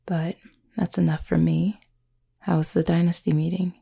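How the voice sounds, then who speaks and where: Woman playing a low, husky, gentle cupid roommate. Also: flat delivery, heavily acted. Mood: composed, fond. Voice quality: soft, breathy, slightly husky. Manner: measured, formal wording, gently teasing. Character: gentle, formal cupid roommate with a tail, quietly fond. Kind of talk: intimate roleplay audio acting, addressed to listener.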